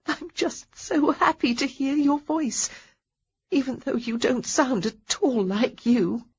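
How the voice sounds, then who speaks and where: A woman reading a storybook, voicing a tender, flustered young woman with a breathy voice who fusses nervously with a slight tremble. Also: sits high, slow pace, muffled recording, voice pushed up, heavily acted. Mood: tearful relief. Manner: fussing, nervous chatter with a tremble. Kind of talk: audiobook character voice.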